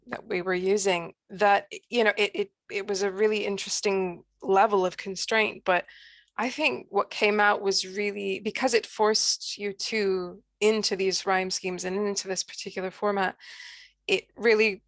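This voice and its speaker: Female poet discussing work, medium pitch, gravelly edge, hesitant and thoughtful. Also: no echo. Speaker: poet and co-author at a book launch. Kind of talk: informal, reflective talk. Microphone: online video call.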